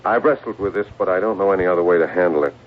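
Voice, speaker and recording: An actor playing a troubled, fearful man on a secret project in a 1970s radio drama, speaking haltingly. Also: low voice, lightly acted. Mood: anxious, confessing. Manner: halting, hesitant, repeating words. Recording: tinny, noisy old radio broadcast.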